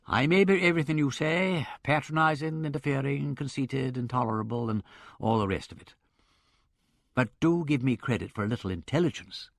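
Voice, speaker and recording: A man voicing a witty gentleman in love, speaking in emphatic, impassioned outbursts. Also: medium pitch, brisk, no echo, studio quality, voice pushed up, lightly acted. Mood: exasperated.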